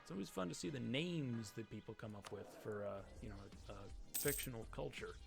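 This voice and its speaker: A man with a fairly deep-set creaky voice rambles through a casual Let's Play. He interrupts himself, swears freely and swings between excitable outbursts and muttered asides. Music plays behind him. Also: a little fast. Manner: rambling, self-interrupting, profane. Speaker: Let's Play gamer and commentator. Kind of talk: casual game commentary with dramatic readings of game text.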